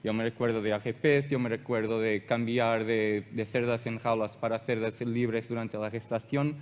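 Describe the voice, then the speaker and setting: A man from Portugal with a Portuguese accent, a native Portuguese speaker giving a technical conference presentation, halting and searching for words with frequent restarts. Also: medium pitch, steady tone, smooth, long reverb tail.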